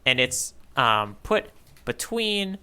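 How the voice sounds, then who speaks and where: A man's voice: medium pitch, very animated, takes it slowly, pushed and bright, clean tone. A man co-hosting a podcast in casual discussion, talking in a playfully grandiose, rambling way. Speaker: podcast co-host. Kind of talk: casual manga discussion banter.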